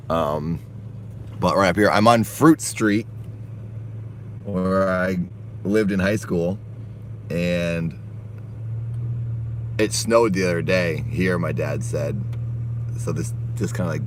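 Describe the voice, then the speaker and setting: Man, hoarse, raspy, somewhat low voice, rambling chatty live streamer, phone mic outdoors, faint traffic hum. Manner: rambling, chatty, laughs often. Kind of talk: casual live stream chat. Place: outdoors, walking and driving around town.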